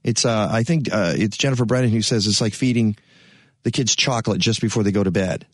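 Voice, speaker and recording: A man's voice: fairly low pitch, brisk, slightly rough, dry recording, clean studio sound. A man hosting a radio talk show, talking casually with many fillers and restarts.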